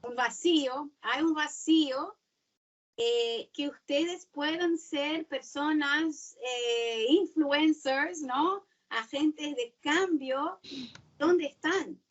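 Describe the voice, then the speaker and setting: A woman who is not a native Spanish speaker, giving a seminar talk and often pausing to search for words. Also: high-pitched, distant mic, lo-fi sound. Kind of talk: webinar talk.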